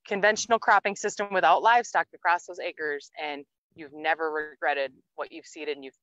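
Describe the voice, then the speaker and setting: A woman's voice: low-pitched, steady tone, fairly quick, a bit bright, no room sound, muffled recording. A woman hosting an outdoor farm interview, upbeat and summing up her guest's answers. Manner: upbeat, leading questions with recaps. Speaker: host, soil health interviewer.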